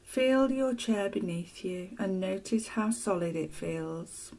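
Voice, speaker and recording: A woman narrating a guided meditation as a teacher, soft and soothing, with gentle, deliberate phrasing and long pauses. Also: medium pitch, animated. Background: ocean waves sound loop.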